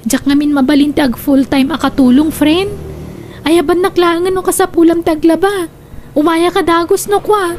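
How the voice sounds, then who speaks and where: A woman's voice: extremely high pitch, fairly even intonation, moderate pace, a little mellow, slight background noise. A woman playing a meek, obliging laundrywoman in a radio drama, deferential, with an Ilocano accent. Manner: deferential, accommodating. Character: meek, obliging poor laundrywoman, a dutiful daughter. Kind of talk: Filipino radio drama acting.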